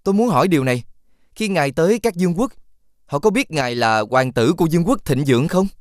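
A man voicing a clever, helpful talking fox in an animated fairy tale, coaxing and explaining. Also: a little high, fast, no room sound, clean studio sound, close to an everyday voice. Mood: scheming, reassuring.

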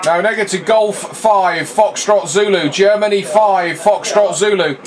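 A man's voice: high-pitched, a little slow. A man working as an amateur radio contest operator, giving clipped, repetitive callsign exchanges in phonetic alphabet. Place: small indoor radio room. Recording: noisy radio shack, clear static hiss.

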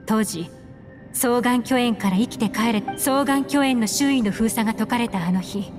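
A woman playing a composed, secretive investigator in Japanese anime game dialogue, speaking in measured, poised phrases. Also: a little high, over music, close to an everyday voice. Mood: grave, confiding.

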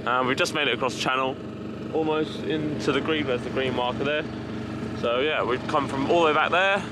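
A man's voice: medium pitch, a little fast. A young man giving chatty running commentary as he handles his sailboat and trailer, filmed outdoors on an action camera. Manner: chatty, running commentary while working on a boat. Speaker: sailing vlogger. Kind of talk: casual vlog narration. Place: outdoors on a sailboat and boat ramp. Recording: outdoor camera audio with wind and water noise. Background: boat, water and wind noise.

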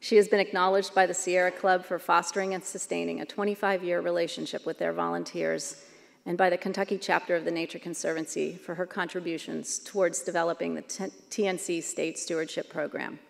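Middle aged woman reading an award intro, lowish gravelly voice, hall echo. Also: flat delivery, brisk. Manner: reads prepared text steadily. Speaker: award presenter. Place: large banquet hall stage. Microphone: podium microphone. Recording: long hall reverb.

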